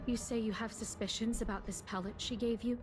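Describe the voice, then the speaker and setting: A woman's voice: on the high side, steady tone, a little fast, lightly acted. Composed female official played by an actress, music behind. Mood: composed, attentive. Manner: formal, composed, articulate. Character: composed, formal, shrewd woman government official. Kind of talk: video game character dialogue.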